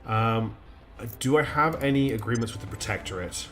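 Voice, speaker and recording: A man's voice: medium pitch, moderate pace, over music. A man streaming a strategy game live. He thinks aloud in a chatty way, with many ums and restarts, and his nose is slightly blocked.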